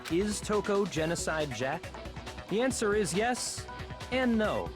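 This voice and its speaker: A woman voicing a cool, composed teenage detective in an anime video game, deliberate and matter-of-fact. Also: mid-range voice, very animated. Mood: composed, certain. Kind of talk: dramatic video game character reading. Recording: game music behind voice.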